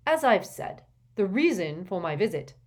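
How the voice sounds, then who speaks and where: Woman as a stern, polite ministry gentleman. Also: mid-range voice, sing-song, normal speed. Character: formal, firm male government official wizard. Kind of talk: audiobook character voice.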